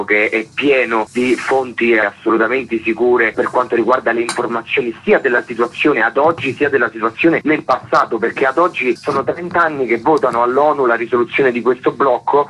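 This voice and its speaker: A man's voice: medium pitch, a bit bright. A male playwright, a radio guest calling in by phone, explaining his play earnestly in long flowing sentences. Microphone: telephone. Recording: muffled phone line with faint noise.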